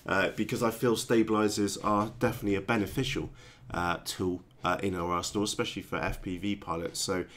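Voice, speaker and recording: A man with a lowish voice hosts a relaxed hobby chat. He sounds stuffed up and congested from a cold. He rambles, stops and restarts, and fills his talk with ums. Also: a little fast. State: congested with a cold, blocked nose. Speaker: host of a hobby chat show. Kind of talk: casual live-stream chat.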